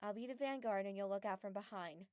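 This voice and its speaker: A woman playing an earnest, guarded but kind young mage girl in an anime fan dub, soft and mildly stern. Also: mid-range voice, fast talker, smooth, dry recording, clean studio sound, lightly acted.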